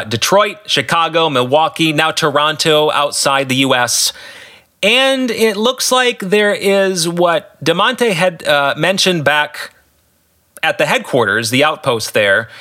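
Male podcast host, higher-set and very bright voice, earnest explanatory commentary. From United States. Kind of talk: solo podcast book commentary.

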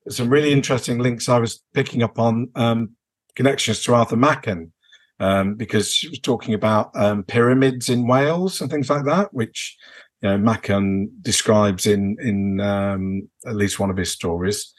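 A British man co-hosting a podcast in casual, unscripted chat, with a halting, rambling way of building his sentences. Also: on the low side. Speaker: podcast co-host.

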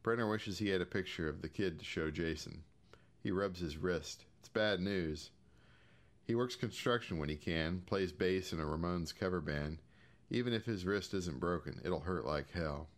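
Low-pitched American man reading a short story. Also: normal speed. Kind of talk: scripted audiobook-style fiction narration.

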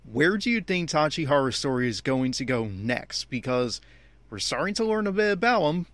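A man's voice: a little high, sing-song, normal speed, fairly bright tone, no room sound. Exasperated man giving commentary, close dry sound. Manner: exasperated, complaining. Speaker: fan podcast host. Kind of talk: opinionated anime episode commentary.

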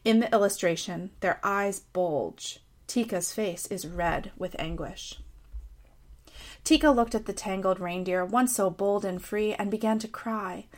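A woman's voice: mid-range voice, a little slow. American woman reading a personal essay. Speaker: writer reading own essay. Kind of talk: scripted personal essay read aloud.

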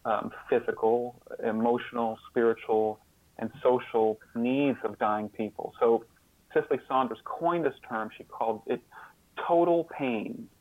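A man speaking as an interview guest, explaining in a halting way, repeating words and stressing key terms. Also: medium pitch, no room sound, narrow, tinny audio. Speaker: interview guest, author and sociologist.

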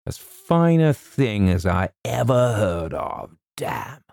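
A man performs an old English army colonel for a storybook reading. The voice is low and gravelly with lively swings. He is bluff yet sentimental, faltering and repeating himself like a heartbroken gentleman soldier. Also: slow pace, no echo, clean studio sound, full character voice. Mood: hearty admiration. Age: older. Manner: hesitant, repeats himself, stumbles over names. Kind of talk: theatrical audiobook character voice.

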